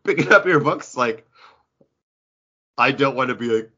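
A man chatting casually about comic books as a podcast host, with an animated, bantering way of talking. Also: mid-range voice, a little slow, highs cut off, noisy background. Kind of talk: casual comic book chat.

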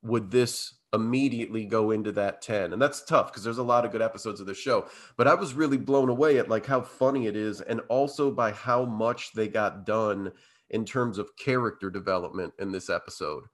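A man co-hosting a podcast in a casual chat, slightly hoarse and blocked up, rambling with lots of fillers and restarts. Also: on the low side, brisk. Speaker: podcast co-host.

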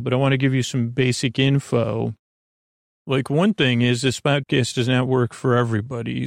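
A man with a creaky voice hosting a sleep podcast, talking in a meandering, hesitant monologue that trails off into tangents. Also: medium pitch, no echo, clean studio sound. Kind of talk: rambling monologue to help listeners sleep.

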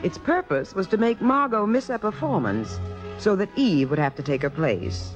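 A woman's voice: medium pitch, big pitch swings, normal speed, lightly acted. An actress playing a woman who confides her guilty scheme against a friend, in 1950s radio drama style. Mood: wry confiding. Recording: muffled vintage radio broadcast with music.

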